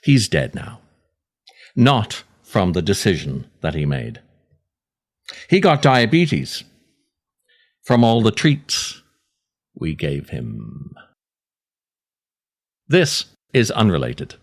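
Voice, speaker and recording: Middle-aged man, wry, slow, expressive delivery. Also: low-pitched. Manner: wry, self-deprecating, theatrical pauses. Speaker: podcast host, writer reading own essay. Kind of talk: scripted comic monologue.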